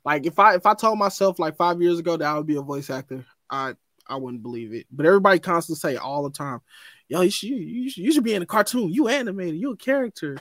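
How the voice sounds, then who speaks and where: A young adult man, a voice actor, talking in an animated, excitable way with stammered restarts in a casual podcast chat. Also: a little high, quick pace, dry recording, studio quality. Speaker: voice actor guest.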